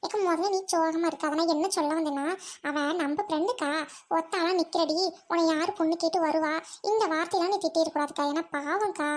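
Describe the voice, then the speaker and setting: A loyal young village girl played by a woman in a village drama. Her voice is extremely high, and she talks as a kind-hearted peacemaker, reasoning and pleading in everyday speech. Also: fast. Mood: soothing, reasoning. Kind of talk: village comedy-drama acting.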